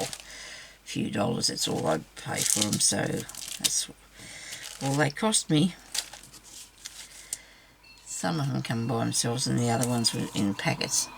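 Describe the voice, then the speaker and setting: Raspy low-voiced woman crafting. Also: noisy background. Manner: rambling, self-chuckling, talks to viewers. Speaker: craft vlogger. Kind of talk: chatty crafting demo, thinking aloud.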